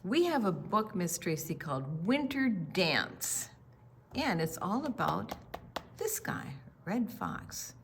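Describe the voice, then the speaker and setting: An older woman co-hosting a children's storytime, chatting playfully and reading picture books aloud in an unhurried way. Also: medium pitch, sing-song, a little hiss or hum. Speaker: children's storytime co-host and book reader.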